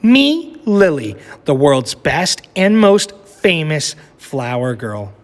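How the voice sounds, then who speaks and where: A man's voice: fairly high pitch, sing-song, slow, big-room sound, heavily acted. A man voicing an eager little girl who longs to be a flower girl, in a read-aloud picture book. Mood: proud.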